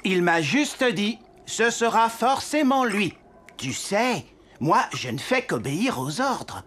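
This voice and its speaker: A man's voice: high voice, sing-song, slow pace, a little hiss or hum, exaggerated voice. A man voicing a loyal, worrying flying reindeer in a French children's cartoon. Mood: confident. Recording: loud music behind the voice.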